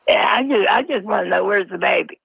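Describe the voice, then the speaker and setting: A man phones in through a voice changer with a high, extremely creaky voice. He is halting and repeats himself, and the dull, dry call sounds like a radio. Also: no echo. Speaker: caller to a live stream. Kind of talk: unscripted phone-in.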